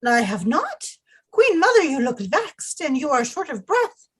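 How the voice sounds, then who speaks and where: A woman's voice: high voice, very animated, unhurried, a bit bright, exaggerated voice. A woman acting as a sweet, fearful young queen in an audio play, speaking in a formal, deferential, anxious way. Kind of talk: theatrical audio drama acting.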